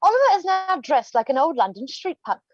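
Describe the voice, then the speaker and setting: A woman's voice: very high-pitched, sing-song, brisk, dry recording. A woman narrating stage directions in a scripted play reading, recorded on slightly dull livestream audio.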